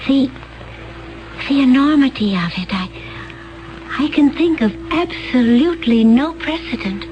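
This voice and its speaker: An actress playing a calm, otherworldly alien woman in a 1970s radio drama. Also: high-pitched, unhurried, faint music behind. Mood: serene. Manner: calm, gentle, detached. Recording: dull lo-fi radio broadcast.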